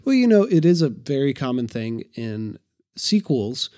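A man co-hosting a casual film review podcast, quick and opinionated. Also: mid-range voice, big pitch swings, warm tone. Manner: quick, opinionated, with frequent laughs. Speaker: podcast co-host.

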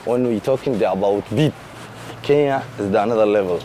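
A young man in his twenties from Somalia, speaking halting English with a Somali accent in a street interview, switching to quick Somali. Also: fairly low pitch, mellow, slight background noise. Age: 20s. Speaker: musician guest. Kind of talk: street interview, casual chat. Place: city street outdoors.

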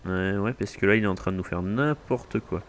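A man from France with a fairly low voice, trading relaxed, teasing banter while playing a farming game with a friend. He talks casually into a mic, jokes dry and offhand. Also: a little fast. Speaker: gaming channel host. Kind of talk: casual co-op gameplay chat.

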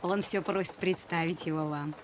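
A woman playing a folksy, warm-hearted country gossip in a dubbed film drama, with a chatty, colloquial way of talking. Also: fairly low pitch, fairly even intonation, moderate pace, background noise, lightly acted. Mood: teasing, amused.

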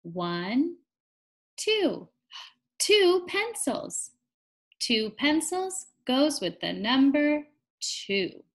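High, animated young woman teaching small children. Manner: encouraging, sing-song, deliberate teacher talk to children. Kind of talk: interactive children's read-aloud lesson.

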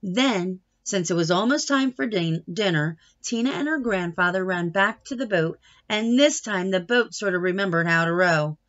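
A woman's voice: medium pitch, lively intonation, moderate pace, hardly any pauses, a bit bright, lo-fi sound. A middle-aged woman reading a children's picture book aloud expressively, stretching words for emphasis, on a home webcam. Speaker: read-aloud storyteller. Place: small wood-panelled room at home.

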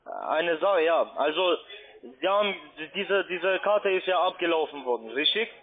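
Casting: male phone scam caller, notably high voice, halting and repetitive, muffled line. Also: animated. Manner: halting, repetitive, non-native grammar. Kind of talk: phone call, pushy questioning.

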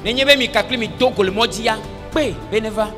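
A man's voice: sits high, fairly quick. A man interpreting a sermon phrase by phrase on stage, speaking into a handheld microphone. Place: large convention hall, on stage. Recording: long hall reverb, music behind.

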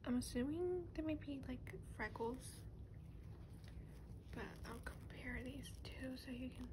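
A woman speaking softly and half-whispering in a casual ASMR show-and-tell, with a gentle, hushed delivery. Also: sits high, unhurried. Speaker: host of an ASMR doll video. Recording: clearly noisy recording with ambient hiss.

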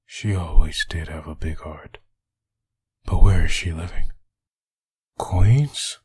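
A man playing a brooding, menacing undead vigilante in a comic dub, with a raspy voice and slow, grim delivery. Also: no echo, heavily acted. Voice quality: deep, raspy.

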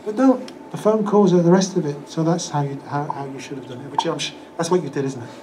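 Older man, lecturing, handheld mic, faint hiss, slightly high voice. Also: quick pace, warm tone. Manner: conversational, acts out excuses in quoted voices. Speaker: religious teacher. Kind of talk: lecture with audience questions. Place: small hall with projector screen. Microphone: handheld microphone.

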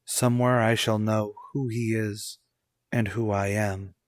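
A man's voice: fairly low pitch, little pitch movement, measured pace, dry recording, clean studio sound, lightly acted. An actor playing a haunted, unravelling young man in an old-time radio suspense drama, with a brooding, dramatic delivery. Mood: desperate, brooding.

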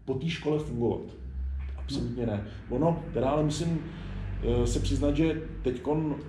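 A man's voice: mid-range voice, mellow. A man in his forties talking casually and reflectively in an interview, restarting his sentences and stressing his points. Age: 40s. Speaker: interviewed illustrator and studio founder. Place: indoor spray-paint shop, at a table. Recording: roomy sound with faint background noise.

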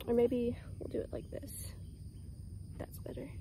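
A young woman with a Southern US accent, talking casually through a how-to farm vlog, full of "um"s and soft, soothing words to her animals. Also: fairly high pitch, completely flat delivery, a little slow, in a whisper. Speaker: vlogger caring for farm animals. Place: outdoors on a farm by animal pens. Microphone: phone camera held close, selfie framing.

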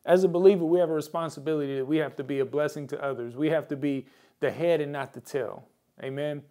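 Higher-voiced American male pastor preaching emphatically. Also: steady tone, quick pace, mellow. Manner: emphatic, repeats key phrases for weight; exhorting, addresses viewers directly. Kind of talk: mid-week church sermon with prayer and scripture.

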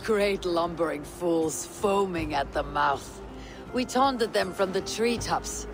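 Slow-spoken young Viking warrior. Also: male voice, sits high, over music, low-quality recording. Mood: wry, playful. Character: steady, wry young Viking warrior and loyal friend. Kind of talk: cinematic game dialogue.

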